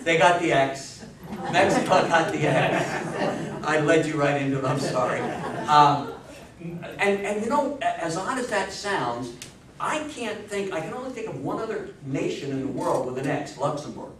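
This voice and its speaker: A man's voice: fairly high pitch, expressive, moderate pace. A man in his sixties giving a history lecture in an emphatic, folksy way, prompting his listeners as he goes. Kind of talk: animated public history lecture with audience questions. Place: small meeting room with seated audience. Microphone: distant camera microphone. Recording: echoey room, distant microphone, faint noise.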